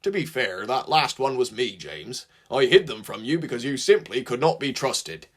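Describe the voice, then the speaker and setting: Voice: medium pitch, animated, normal speed, natural voice. A man as a grave West Country engine. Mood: blunt correction. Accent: West Country English. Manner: grave, blunt.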